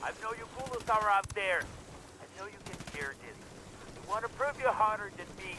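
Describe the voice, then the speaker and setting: An actor playing a boastful, brutal mercenary warlord who taunts challengers theatrically in a video game. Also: male voice, sits high, expressive, brisk, background noise. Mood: taunting, menacing. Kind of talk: video game villain acting.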